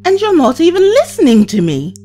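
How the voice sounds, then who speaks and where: A woman's voice: very high-pitched, wildly swooping pitch, fairly quick, warm tone, faint music behind, full character voice. A woman playing a time traveller's hurt young companion in an audio drama, earnest and reproachful. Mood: upset, pleading.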